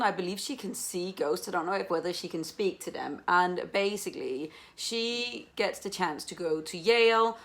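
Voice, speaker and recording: A woman hosting a casual book vlog, chatting in an enthusiastic, rambling, self-mocking and sweary way. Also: on the low side. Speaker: book reviewer, video host.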